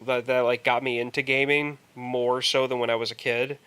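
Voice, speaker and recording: A man co-hosting a podcast in casual chat, somewhat halting as he thinks aloud. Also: mid-range voice, little pitch movement, fairly bright tone, clean tone. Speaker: podcast co-host. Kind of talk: casual chat about movies and video games.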